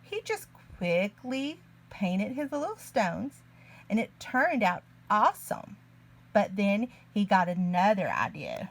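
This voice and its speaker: A woman reading a picture book aloud to young children in an expressive, deliberate way. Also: mid-range voice. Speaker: children's story reader, early learning teacher.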